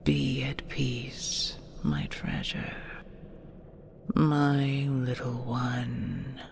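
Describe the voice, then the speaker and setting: A woman's voice: rumbling low, flat delivery, extremely slow, a little hiss or hum, full character voice. A woman playing an ancient, possessive and tender she-dragon in an intimate audio roleplay, whispering soothingly with formal, old-fashioned phrasing. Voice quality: breathy, creaky whisper.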